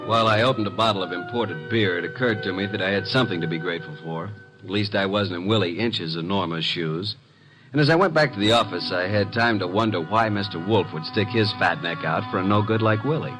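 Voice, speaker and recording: An actor playing a wry, breezy, wisecracking detective's assistant in old radio drama, both in dialogue and as narrator. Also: male voice, low voice, fairly quick, music in the background, natural voice, poor audio. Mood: wry narration. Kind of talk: 1940s-50s radio detective acting and narration. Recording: slightly hissy old radio recording.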